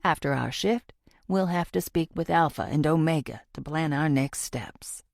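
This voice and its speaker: A woman voicing a gruff, dismissive male police officer with a deep voice, curt and scornful, in a thriller audiobook. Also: moderate pace, a little mellow, no room sound, studio quality. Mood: guarded, scheming.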